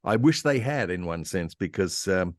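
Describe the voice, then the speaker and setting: Deep-voiced man chatting as a historian. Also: expressive, normal speed, no room sound, clean studio sound. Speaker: panel guest, radio historian. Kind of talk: casual informed discussion of old radio.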